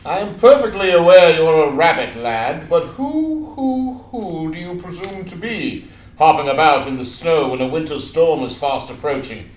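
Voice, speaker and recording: A man's voice: a little high, animated, moderate pace, natural voice. Man as a pompous scholarly owl, echoing and distant. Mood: condescending, scolding. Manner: pedantic, formal, stuttering hoots on words. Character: pompous, scholarly, condescending old-fashioned great gray owl. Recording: echoey room, far from the mic.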